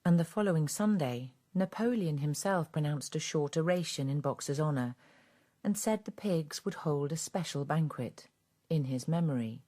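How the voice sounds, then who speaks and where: A woman narrating a radio drama as a grave, measured storyteller of a farm fable. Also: deep voice, expressive, dark tone.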